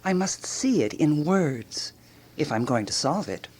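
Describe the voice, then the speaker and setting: A woman's voice: deep voice, expressive, unhurried, a little mellow, husky, full character voice. A woman playing a self-assured amateur detective of 1920s Paris in a radio drama, emphatic and theatrical. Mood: deliberate.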